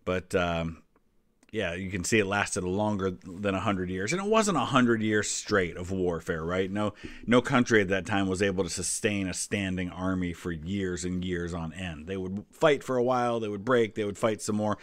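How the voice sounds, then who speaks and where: A man's voice: low-pitched, moderate pace, no echo. An American man in his forties giving casual, conversational commentary and explaining history as he goes. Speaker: history vlogger, host.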